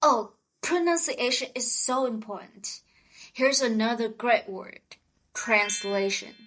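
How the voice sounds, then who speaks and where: A woman co-hosting a scripted vocabulary lesson, speaking in a slow, clear teaching manner. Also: fairly high pitch, lively intonation, fairly bright tone. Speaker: podcast co-host.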